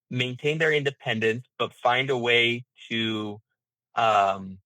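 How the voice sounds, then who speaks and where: Bright-voiced man explaining in an interview. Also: medium pitch, no room sound, poor audio. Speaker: interview guest, healthcare management founder.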